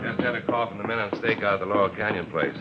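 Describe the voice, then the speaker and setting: A man playing a brisk, authoritative police inspector in a 1950s radio crime drama, speaking in curt phrases. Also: lowish voice, loud music behind the voice, lightly acted. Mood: matter-of-fact. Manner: curt, clipped. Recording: dull vintage radio recording, clear noise.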